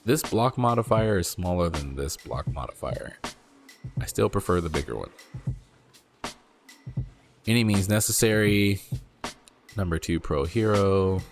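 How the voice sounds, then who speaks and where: A man who is not a native English speaker, casually thinking aloud while he reads and reacts to a card game article, rereading lines and breaking into excited exclamations. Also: low-pitched, unhurried, long pauses, music in the background. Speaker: card game content creator.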